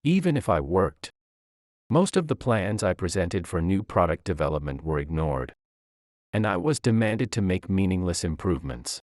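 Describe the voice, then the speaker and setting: A man's voice: sits low, very animated, moderate pace, darkish tone, no room sound, studio quality. A man reading a scripted first-person story as its narrator.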